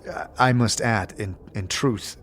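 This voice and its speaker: A man in a fantasy roleplay, moving between narration and dialogue in a halting, tentative manner. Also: on the low side, a bit bright. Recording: faint background noise.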